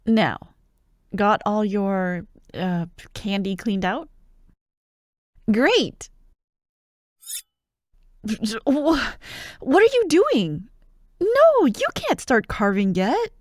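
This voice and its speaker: A woman playing a bubbly, bossy young childhood friend who loves Halloween, in an animated, teasing ASMR roleplay. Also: medium pitch, very slow, pauses often, no echo, studio quality. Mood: upbeat. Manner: animated, expressive, teasing, with laughs and sighs. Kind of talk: ASMR roleplay, one-sided acted conversation.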